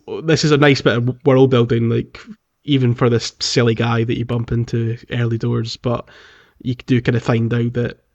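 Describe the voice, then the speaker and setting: A man's voice: medium pitch, a little mellow. Man chatting on a dull lo-fi recording. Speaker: podcast co-host. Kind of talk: casual video game podcast chat.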